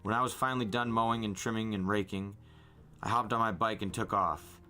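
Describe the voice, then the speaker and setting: A man narrating a first-person novel aloud in an expressive storytelling way. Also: lowish voice, a little fast, faint music behind. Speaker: podcast host reading a novel aloud. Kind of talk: first-person audiobook-style narration with acted dialogue.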